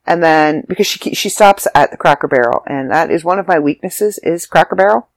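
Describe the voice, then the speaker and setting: A congested, slightly raspy speaker telling a rambling, chatty travel story to listeners. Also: medium pitch, brisk, no room sound. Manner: chatty, rambling, self-interrupting. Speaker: vlogger. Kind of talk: casual storytelling monologue. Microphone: close mic, audio only.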